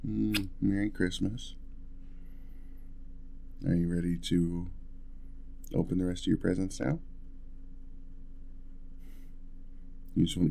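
A man playing an affectionate, playful young husband in an ASMR roleplay, talking softly and tenderly in a casual, hesitant way. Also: sits low, creaky, slightly rough, full character voice. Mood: tender, cozy. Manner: soft, hesitant, chuckling, tender. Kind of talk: ASMR boyfriend roleplay, intimate casual chat.

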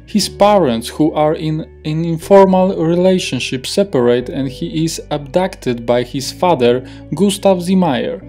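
A man's voice: a little high, animated, measured pace, hardly any pauses, warm tone, faint music behind. A man in his thirties with a Polish accent, hosting a history channel and reading a scripted narration carefully from a home office on a lapel mic. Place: home office with desk and plant.

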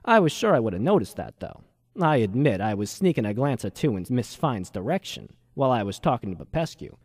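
A man acts as a world-weary, wisecracking ex-newspaperman in a noir radio play. His delivery swings with lively intonation and dry, slangy cynicism, recorded close and dry. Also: mid-range voice, fairly quick, dry recording. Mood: suspicious, wry. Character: cynical wisecracking male ex-journalist, hardboiled noir narrator. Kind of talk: hardboiled first-person noir radio drama narration.

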